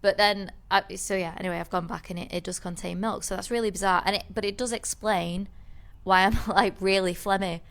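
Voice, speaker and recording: A woman's voice: medium pitch, steady tone, fairly quick. A woman co-hosting a podcast, telling a rambling story in casual chat, with many restarts. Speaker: podcast co-host.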